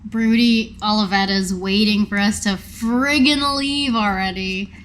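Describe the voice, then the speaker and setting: A woman's voice: mid-range voice, steady tone, unhurried, fairly bright tone. A woman talking casually as a player on a tabletop game podcast. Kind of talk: casual chat.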